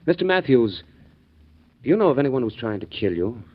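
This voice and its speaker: An actor playing a smooth, polite office manager who turns traitor in a 1940s radio drama. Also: male voice, medium pitch, sing-song, brisk. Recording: dull old radio recording.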